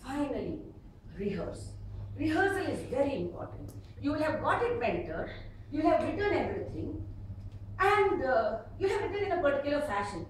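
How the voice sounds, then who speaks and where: A middle-aged woman with an Indian accent giving an instructional speech to an audience, expressive and emphatic, with dramatic pauses. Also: high-pitched. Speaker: public speaking trainer at a speakers club. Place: echoey meeting room with an audience. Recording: echoey hall from far off, noisy.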